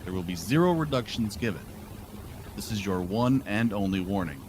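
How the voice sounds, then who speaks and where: A man's voice: on the low side, animated, moderate pace. A man playing a judge in a roleplay courtroom, stern and procedural as he lays out the rules. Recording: noisy game voice chat.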